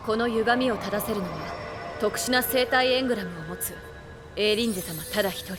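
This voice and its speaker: A woman's voice: mid-range voice, fairly quick, natural voice. A woman voicing an earnest young female attendant in an anime game, speaking in a formal, courteous, explanatory way. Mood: solemn, explaining. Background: game music.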